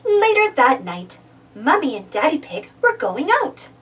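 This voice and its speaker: A woman reading a picture book aloud as narrator, expressively and deliberately, for young children. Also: high-pitched, sing-song, a bit bright, recorded from a distance.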